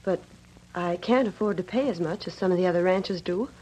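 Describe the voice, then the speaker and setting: An actress playing a no-nonsense young ranch boss in an old Western radio drama, brisk and assertive. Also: female voice, fairly low pitch, a little mellow, natural voice, poor audio. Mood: businesslike. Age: young adult. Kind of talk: 1940s-1950s Western radio drama acting.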